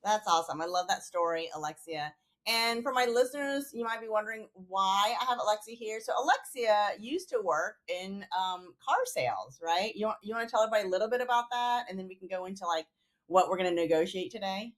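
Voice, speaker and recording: A woman's voice: mid-range voice, lively intonation, a little fast. A woman hosting a podcast on negotiation, introducing her guest with enthusiasm and filling her talk with "like". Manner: enthusiastic, fills with "like". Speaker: podcast host, negotiation teacher. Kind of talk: casual podcast interview.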